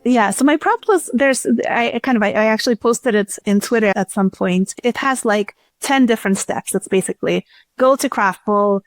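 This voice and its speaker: A woman's voice: mid-range voice, moderate pace, no room sound. A woman guest on a podcast, informally explaining a product demo step by step. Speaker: podcast guest, startup chief executive.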